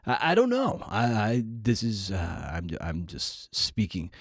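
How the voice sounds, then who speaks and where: A man's voice: lowish voice, wildly swooping pitch, a little slow, husky, no echo, clean studio sound. A man hosting a podcast in a rambling, casual way, with a wry, self-deprecating, digressive manner. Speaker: podcast host and interviewer.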